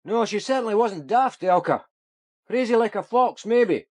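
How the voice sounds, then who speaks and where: A man's voice: high-pitched, expressive, normal speed, a little mellow. Weary, musing male detective. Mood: wry. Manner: conversational, musing. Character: weary, reflective male police detective chatting off duty. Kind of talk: naturalistic radio drama acting.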